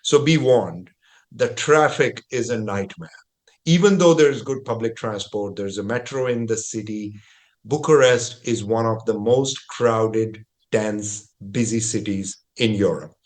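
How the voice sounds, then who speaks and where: A middle-aged man hosting a travel podcast, talking in a measured, explanatory, conversational way. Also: fairly low pitch. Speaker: podcast host.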